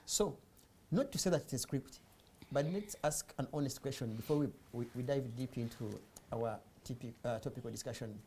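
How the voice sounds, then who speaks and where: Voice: medium pitch, normal speed, warm tone, slight background noise. A host moderating a panel, hesitant and filler-heavy as he prompts the guests. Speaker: talk show host.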